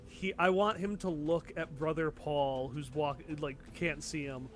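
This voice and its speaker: A man's voice: fairly high pitch, steady tone, a little slow, a little hiss or hum. A man casually describing his character's actions as a player in a tabletop game. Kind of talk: casual improvised role-play narration.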